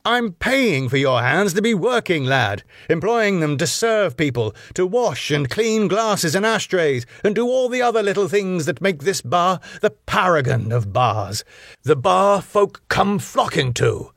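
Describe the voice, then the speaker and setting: A man's voice: a little high, animated, moderate pace, few pauses, no echo, clean studio sound, pitched above the performer's own voice, lightly acted. A man voicing an exasperated, long-suffering middle-aged bar manager in a script reading, wordy and sarcastic.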